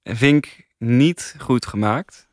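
A man's voice: mid-range voice, slow pace, darkish tone, no room sound, studio quality. A man co-hosting a podcast, chatting casually as he gives his review.

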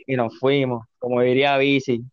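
A man's voice: medium pitch, level tone, normal speed, clean tone, dry recording, dull audio. A man of twenty-two from Puerto Rico with a Puerto Rican accent, a podcast guest chatting loosely in slang and swearing a lot. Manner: laid-back, slangy, swears constantly. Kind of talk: casual chat.

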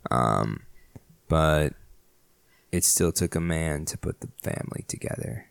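A man's voice: a bass voice, unhurried, gravelly, clear, resonant tone, no echo. A man co-hosting a podcast in casual chat, teasing and trolling with jokes. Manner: teasing, joking deadpan trolling. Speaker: podcast co-host.

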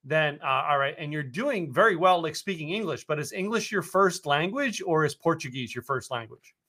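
An American man hosts a loose chat, rambling and restarting his sentences with plenty of fillers. His voice is very bright and a touch high for a man. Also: animated, moderate pace. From United States. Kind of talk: casual conversation.

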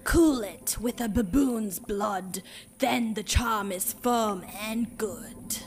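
A woman playing an eerie witch, chanting dark spells rhythmically in a dramatic Shakespeare reading. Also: medium pitch, takes it slowly, over a noisy crowd. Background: faint ominous music.

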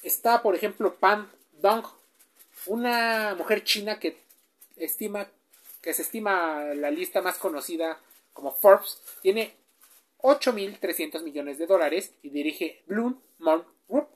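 A woman's voice: mid-range voice, a little slow. A woman, giving a chatty explainer and often asking the listener questions. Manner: chatty, asks the listener questions, with filler sounds. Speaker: presenter. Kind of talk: informal explainer speaking straight to the listener.

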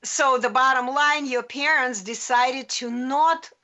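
A woman hosting a live radio talk show, interviewing a guest with energetic, encouraging questions. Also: high voice, a little slow.

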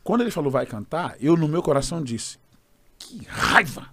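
A Brazilian man, a gospel singer guest, telling an animated story and acting out the voices of others. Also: a little high, moderate pace. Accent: Brazilian Portuguese. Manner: expressive, acts out quoted voices.

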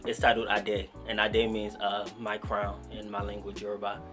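A man in his twenties from Nigeria, a guest rapper telling stories in relaxed, slangy casual talk. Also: lowish voice, normal speed, over music. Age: 20s. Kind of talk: casual podcast interview.

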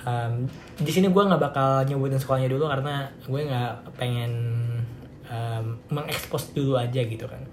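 A man's voice: mid-range voice, normal speed. A teenage boy, hosting a casual solo podcast full of fillers and restarts. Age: teens. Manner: rambling, many fillers and restarts, laughs often. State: slightly hoarse. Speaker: podcast host. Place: home room with music playing. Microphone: home recording setup. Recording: echoey home room, faint noise.

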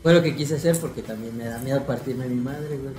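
Young mexican guy on a podcast, joking with his buddies, roomy sound, music low behind. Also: brisk, mellow. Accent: Mexican Spanish. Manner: slangy, laughing, storytelling. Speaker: podcast co-host. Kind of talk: casual banter among friends.

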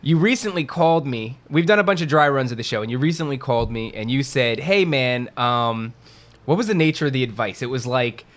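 A man co-hosting a podcast, talking in a chatty, animated, self-deprecating way. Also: mid-range voice, a little fast. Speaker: podcast co-host. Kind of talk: casual podcast chat.

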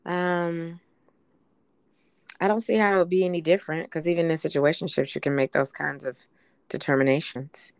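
A woman co-hosting a casual podcast, hoarse from a recent illness, chatting with lots of "um"s and "you know"s. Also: low voice, dry recording. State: hoarse from being sick. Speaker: podcast co-host.

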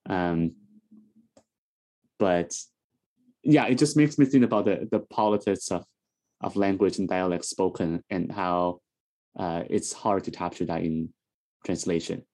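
A man on a theater panel speaking hesitantly, pausing often as he searches for his words. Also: deep voice, mellow. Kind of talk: conversational panel discussion.

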